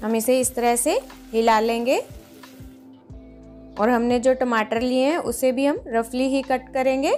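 A woman hosting a home cooking video, patiently explaining each step in a calm instructional voice-over. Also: on the high side, measured pace, pauses often, soft background music. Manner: patient, explains each step, often says "dekhiye, lijiye". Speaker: cooking channel host.

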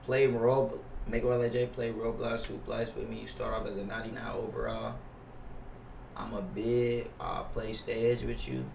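A young American man talks to his stream chat in a slangy, griping, swear-heavy way. His medium-pitched voice comes through a roomy, slightly distant mic with faint noise behind it. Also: flat delivery, a little slow, creaky, a little mellow. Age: young adult. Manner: slangy, profane, complaining. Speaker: livestreamer playing a basketball video game. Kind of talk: casual livestream chat with viewers. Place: indoors at a gaming setup.

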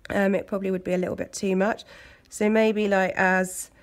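A woman with an English accent, hoarse from a cold, chatting casually as she talks through a nail art tutorial. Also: medium pitch, little pitch movement, normal speed. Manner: chatty, loose, lots of fillers. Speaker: nail technician. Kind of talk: step-by-step tutorial narration.

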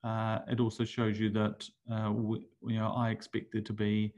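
A man's voice: lowish voice, monotone, moderate pace, darkish tone. A middle-aged man with a New Zealand accent, giving a conference talk over slides, hesitant with many fillers and restarts. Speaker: conference speaker. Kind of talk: webinar presentation over slides.

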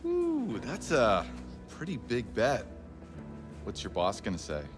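Game casino boss: smug, sly, mocking, hugely varied intonation, faint score. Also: male voice, mid-range voice, huge pitch swings, takes it slowly, faint music behind.

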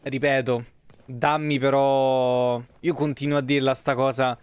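A man's voice: mid-range voice, flat delivery, measured pace, clear, resonant tone, no echo. A man, giving casual, sweary, grumbling live game commentary into a close mic. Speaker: game streamer. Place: small indoor room.

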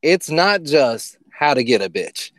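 Mocking male podcaster with animated pitch. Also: measured pace, dry recording. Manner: mocking, profane, incredulous. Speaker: podcast co-host. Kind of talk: casual comedic banter.

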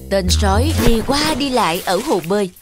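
A woman's voice: mid-range voice, animated, moderate pace. A woman giving scripted narration of a children's story. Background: music.

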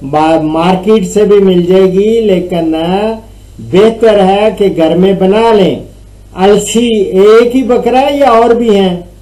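Middle-aged pakistani guy giving farm advice, slow unhurried talk, jokes with callers, webcam in a small room. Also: sits high, warm tone, low-quality recording. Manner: friendly, joking, calls caller "my dear". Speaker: agricultural advisor answering callers. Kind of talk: phone-in advice chat.

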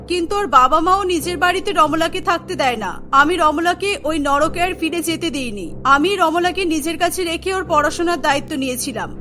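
A woman plays a devoted aunt in a cartoon melodrama. Her voice is high and sharply bright. She explains earnestly and pleads, with music playing underneath. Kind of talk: dramatic cartoon voice acting.

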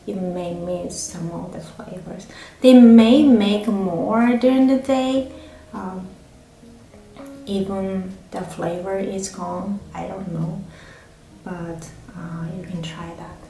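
Slow, chatty woman reviewing food. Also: medium pitch, gravelly, slight background noise. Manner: relaxed, chatty, with many fillers and restarts. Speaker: food vlogger. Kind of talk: casual food review to camera. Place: small indoor room. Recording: echoey room with faint music.

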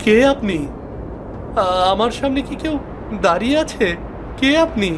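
A man's voice: very high voice, animated, measured pace, noisy background, pitched above the performer's own voice. A man voicing a poor, honest blind young woodcutter in a cartoon fairy tale, plaintive and earnest. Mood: anxious, distressed.

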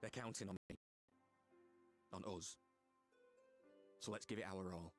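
Earnest low-voiced British soldier. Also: male voice, a little slow, faint music behind. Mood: earnest resolve. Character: earnest, determined male armoured soldier with self-doubt. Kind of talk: game cutscene voice acting.

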